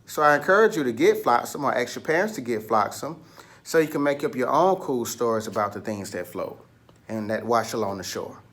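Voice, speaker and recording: A man's voice: mid-range voice, animated, a little fast, a bit bright. A man leading a picture book read-aloud lesson for children, instructing, asking questions and prompting listeners along.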